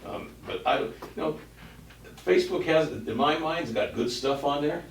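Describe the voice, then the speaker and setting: A man with a gravelly, somewhat low voice talks loosely and keeps restarting his sentences. He is picked up from across an echoey room. A faint noise runs underneath. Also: moderate pace, distant mic. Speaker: committee member. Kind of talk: casual meeting discussion. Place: meeting room.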